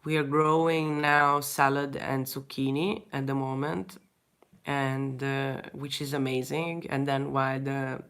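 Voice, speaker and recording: A young woman with a Hungarian accent, speaking English as a second language, chatting casually with viewers in a livestream Q&A, hesitant, with many restarts and soft laughs. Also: a bass voice, fairly even intonation, a little slow, clear, resonant tone. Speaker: artist answering viewer questions.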